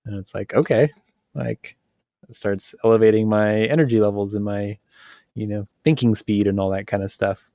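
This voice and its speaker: A man co-hosting a casual podcast conversation, talking in a relaxed, rambling way with many fillers and frequent laughs. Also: fairly low pitch, normal speed, no room sound, clean studio sound. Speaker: podcast co-host.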